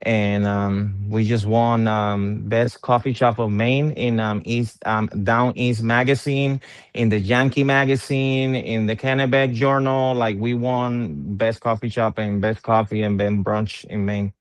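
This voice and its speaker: A man's voice: lowish voice, level tone, unhurried, clean tone, dry recording. A middle-aged man from Puerto Rico, an interviewed musician, talking in a casual conversation, hesitant and full of fillers and repeated words.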